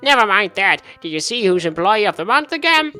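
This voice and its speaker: A man's voice: high-pitched, big pitch swings, brisk, pushed and bright, soft background music, voice pushed up, full character voice. A man voicing a chatty, petty office worker who gossips, in a visual novel read aloud. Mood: gossipy.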